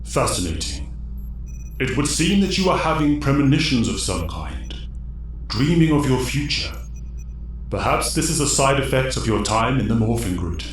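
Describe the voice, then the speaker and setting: A man voicing an ancient, wise, fatherly mentor spirit in an audio drama, speaking formally and calmly with a booming echo. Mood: calm, pondering. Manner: solemn, formal, measured. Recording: heavy echo, music underneath.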